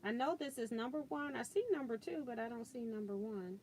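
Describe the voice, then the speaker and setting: A woman hosting a live sewing stream, chatting casually and thinking aloud as she works. She has a loud voice and chuckles often. She is recorded on a laptop mic about four feet away in a home sewing room. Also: fairly high pitch, a little fast, slight background noise, rough recording. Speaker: quilting and sewing livestream host. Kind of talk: casual live demo chat. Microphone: built-in laptop mic about four feet away.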